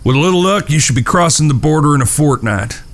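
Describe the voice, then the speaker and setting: A man's voice: fairly high pitch, lively intonation, fast, lightly acted. Guy acting a serious protective cowboy, earnest, audio drama. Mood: resigned, gentle. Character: grave, protective cowboy outlaw, eldest-brother type.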